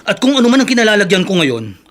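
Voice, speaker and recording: An actor playing a proud, hot-tempered former janitor in a radio drama, emphatic and defiant. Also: male voice, high-pitched, big pitch swings, fast, a bit bright. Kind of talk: Tagalog radio drama acting.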